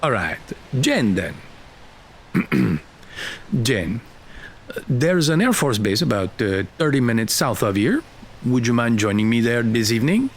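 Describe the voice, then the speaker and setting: A man's voice: mid-range voice, very animated, unhurried, long pauses, a little hiss or hum. A man playing a genial, probing middle-aged Air Force colonel in an audio drama, courteous and a little hesitant.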